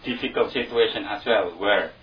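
A man's voice: lowish voice, normal speed. A man from Indonesia with an Indonesian accent, talking to an audience about his volunteer work in hesitant, non-native phrasing. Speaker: returned volunteer. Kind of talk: informal talk to an audience. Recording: distant, dull mic with faint noise.

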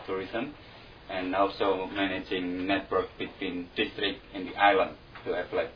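A man from Indonesia with an Indonesian accent, talking to an audience about his volunteer work in hesitant, non-native phrasing. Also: deep voice. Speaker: returned volunteer. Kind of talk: informal talk to an audience. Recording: distant, dull mic with faint noise.

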